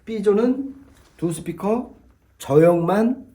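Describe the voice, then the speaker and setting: Guy with kinda high but really mellow voice, explaining hifi stuff step by step, chatty teacher type, repeats himself. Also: animated, drawn-out words. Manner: didactic, explains step by step with repetition. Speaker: hi-fi expert presenter explaining audio setups. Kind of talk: conversational explainer.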